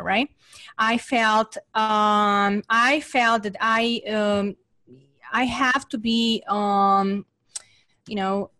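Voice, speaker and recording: A Serbian-accented woman speaking in a somewhat high voice. She is a guest on an interview, telling her own experience in an earnest, motivational way and checking in with "right?" often. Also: a bit bright. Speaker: guest entrepreneur.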